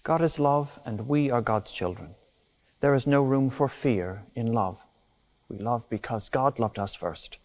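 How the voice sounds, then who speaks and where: A middle-aged man, a deacon, reading formal prayers in a measured, solemn manner. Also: medium pitch, darkish tone. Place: inside a church. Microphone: clip-on lapel mic.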